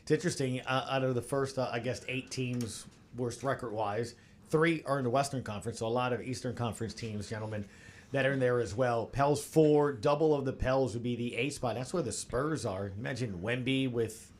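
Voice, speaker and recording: A man's voice: mid-range voice, fairly quick. Male podcast host over background noise. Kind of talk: casual roundtable moderating.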